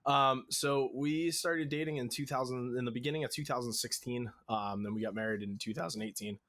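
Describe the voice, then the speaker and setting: A young man from Connecticut talks as a podcast guest, with a mid-pitched voice. He answers in a relaxed, rambling way, full of pauses and fillers, and laughs at himself now and then. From Connecticut, USA. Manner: easygoing, many "um"s and "you know"s, laughs at himself. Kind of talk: casual interview answers.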